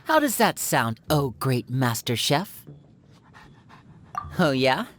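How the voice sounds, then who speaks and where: A woman voicing a smooth, teasing young stage magician in an anime game. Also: sing-song, slow, exaggerated voice. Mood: playful, affectionate. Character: suave, playful young stage magician, affectionate older sibling. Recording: faint background rumble.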